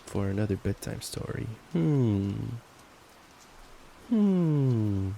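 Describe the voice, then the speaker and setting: A man's voice: fairly low pitch, very animated, drawn-out words, creaky, mellow. A young man doing an affectionate, playful ASMR boyfriend roleplay, reading a bedtime story with stumbles and giggly asides. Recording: noisy track with ambient rain sounds. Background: rain ambience.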